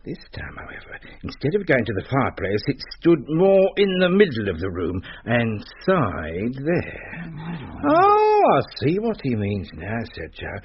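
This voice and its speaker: A male actor playing a droll raconteur who tells a mock-spooky tale theatrically, moving between chuckles and hushed suspense. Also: mid-range voice, wildly swooping pitch, unhurried. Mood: wry. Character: droll raconteur spinning a mock-spooky tale about his brother-in-law. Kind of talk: theatrical comic ghost-story storytelling. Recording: muffled radio recording, hiss and clicks.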